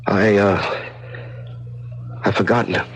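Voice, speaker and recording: An actor playing a terrified male prisoner unraveling alone in a dark cell, halting and agitated, muttering to himself in a radio drama. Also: on the low side, level tone, a little hiss or hum, close to an everyday voice. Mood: confused, pleading. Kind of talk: old-time radio drama acting.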